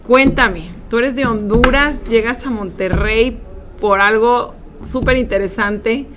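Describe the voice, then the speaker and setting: A woman hosting an interview show in an upbeat, casual way, often filling pauses. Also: fairly high pitch. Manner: upbeat, with frequent filler words. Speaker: podcast host. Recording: faint background noise.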